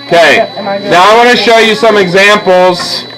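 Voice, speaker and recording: A man's voice: sits high, moderate pace, low-quality recording. A man teaching a drawing class in an informal, encouraging way, instructing students as he demonstrates. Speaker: art teacher. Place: busy school classroom. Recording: classroom recording with students chattering behind.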